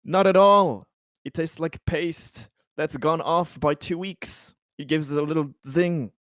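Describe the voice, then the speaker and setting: A man voicing a pompous, sarcastic leader of a space expedition in a tabletop game, with grandiose, halting delivery. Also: on the high side, fairly bright tone, no echo, studio quality, voice pushed up. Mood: teasing.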